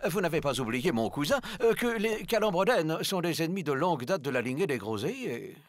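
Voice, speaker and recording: Excitable high-pitched marquis. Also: male voice, brisk, dry recording, clean studio sound. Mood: concerned. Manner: florid, old-fashioned, mannered speech with quaint exclamations. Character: excitable, courteous aristocratic French marquis, eccentric cousin. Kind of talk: cartoon voice acting.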